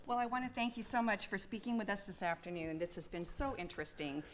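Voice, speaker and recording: A woman's voice: mid-range voice, lively intonation, fast talker. A woman hosting an event, asking polite questions on stage and joking lightly as she introduces the speaker. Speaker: event host and interviewer.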